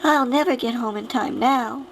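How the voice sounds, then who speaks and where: A man voicing an eager young boy adventurer in a bright, boyish voice. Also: extremely high pitch, normal speed, vocal fry, slight background noise, pitched above the performer's own voice, heavily acted. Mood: earnest.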